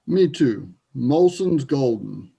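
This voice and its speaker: Man playing guarded grieving guy, dark mellow voice. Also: medium pitch, expressive, takes it slowly, creaky, breathy edge, heavily acted. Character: grieving, tipsy Canadian man, guarded and hesitant. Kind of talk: readers theater dialogue.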